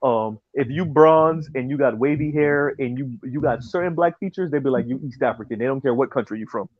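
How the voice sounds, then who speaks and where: A man's voice: mid-range voice, a little fast, dry recording. A man chatting on a podcast, putting questions to the others in a thoughtful way. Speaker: podcast guest. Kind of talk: casual chat. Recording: dull lo-fi recording.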